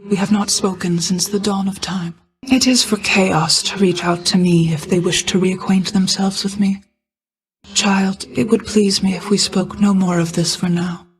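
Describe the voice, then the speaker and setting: A woman voicing a regal, ageless, motherly goddess of night in a video game, speaking in formal, measured, archaic phrasing. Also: medium pitch, fairly even intonation, close to an everyday voice, poor audio. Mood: solemn, guarded. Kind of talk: scripted video game voice acting.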